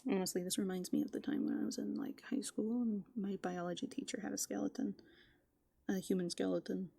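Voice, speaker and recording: A soft-spoken woman doing rambling, playful ASMR as a raccoon, musing aloud and often slipping into whispers. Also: medium pitch, steady tone, fairly quick, creaky, breathy edge. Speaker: ASMR host. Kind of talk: furry roleplay ASMR, improvised rambling.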